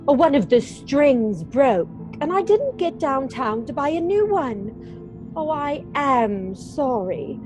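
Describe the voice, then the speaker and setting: An actress playing a sweet, demure young widow and bride in old-time radio melodrama style. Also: female voice, high voice, sing-song, slow, music in the background, exaggerated voice, low-quality recording. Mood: coy, apologetic.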